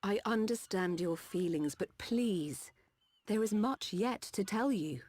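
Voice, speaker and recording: A woman voicing a composed, caring mechanical-lifeform doctor in a game, speaking in a measured, formal, polite way. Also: medium pitch, expressive, a little mellow, husky. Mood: gentle. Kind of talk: scripted game cutscene acting.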